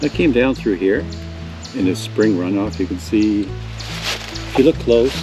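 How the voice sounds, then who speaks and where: An older man, a homesteader who casually narrates as he walks outdoors through woods and swamp, pointing things out in a relaxed, rambling way. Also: fairly low pitch, measured pace, over music, poor audio. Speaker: homesteader vlogger.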